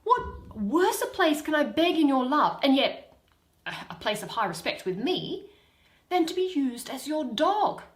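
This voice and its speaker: A woman acts a lovesick young heroine of Shakespeare, imploring and stubbornly devoted. Her pitch runs high and leaps about, and the lines unfold slowly in an echoing room. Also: big pitch swings. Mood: pleading. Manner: impassioned, imploring. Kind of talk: dramatic Shakespeare reading.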